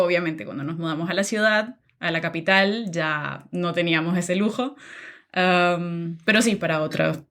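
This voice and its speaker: A young woman from Nicaragua, a podcast guest chatting casually about her life, with many filler sounds. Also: lowish voice. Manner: relaxed, many "am" and "em" fillers, laughs easily. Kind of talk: casual interview chat.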